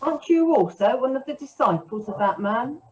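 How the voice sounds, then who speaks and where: A woman's voice: high voice, lively intonation, moderate pace, far from the mic, poor audio. A woman reading accusing bystanders in a dramatized Bible reading, plainly voiced, a little away from the mic. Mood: suspicious. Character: accusing bystanders, gate girl and temple authorities.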